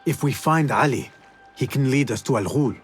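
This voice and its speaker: A man acting a humble, cautious young rebel assassin in a video game drama, serious and deliberate. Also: mid-range voice, expressive, breathy edge, soft background music. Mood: calm, resolute.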